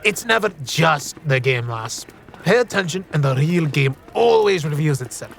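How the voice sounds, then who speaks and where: An actor playing a cheeky, scheming card cheat in an audio fantasy drama, with a sly, teasing, slangy way of talking. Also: male voice, fairly high pitch, lively intonation, moderate pace, gravelly, slight background noise.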